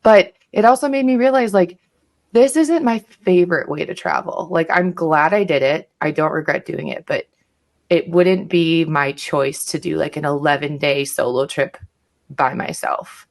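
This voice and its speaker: A middle-aged woman with a low-pitched voice talks as a guest on a podcast. She is frank and self-reflective, speaking in a casual, conversational way. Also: normal speed, gravelly.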